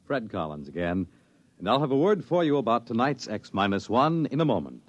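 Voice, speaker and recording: A male radio announcer reads the closing credits in a polished, measured style. His pitch moves lively, and faint music plays under this old broadcast. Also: no echo, lo-fi sound.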